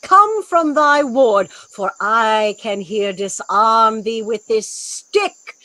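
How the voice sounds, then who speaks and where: A woman playing a stern, commanding sorceress mother in a Shakespearean audio drama, theatrical and sharp in rebuke. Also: a little high, takes it slowly, dry recording. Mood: stern, scolding.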